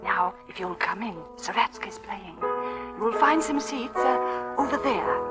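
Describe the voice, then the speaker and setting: An actress playing a brisk, gracious aristocratic hostess in a radio drama, arch and sociable. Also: female voice, mid-range voice, expressive, lightly acted. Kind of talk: 1940s radio drama acting. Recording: old radio recording, music behind.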